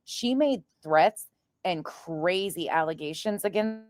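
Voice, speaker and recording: A woman's voice: on the low side, lively intonation, takes it slowly, a little mellow, no room sound. A woman streamer giving blunt, profane, scornful commentary in casual reaction chat.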